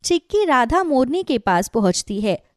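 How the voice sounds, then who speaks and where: A woman narrator reads a scripted children's story. Her voice is fairly high and very animated. The recording is dry. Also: moderate pace, dry recording.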